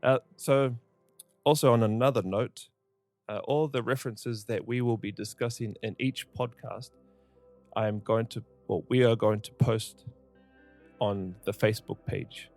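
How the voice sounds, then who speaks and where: Male podcast host, low pitch, gravelly, earnest and halting, faint music behind. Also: steady tone, a little mellow. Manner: earnest, hesitant, many fillers. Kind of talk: casual podcast intro and interview.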